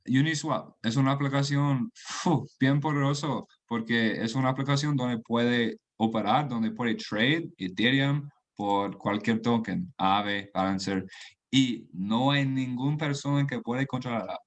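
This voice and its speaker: Slow, halting man on a call. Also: medium pitch. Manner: halting, restarts and searches for Spanish words; laughs between phrases. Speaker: guest. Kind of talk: casual chat mixing Spanish and English.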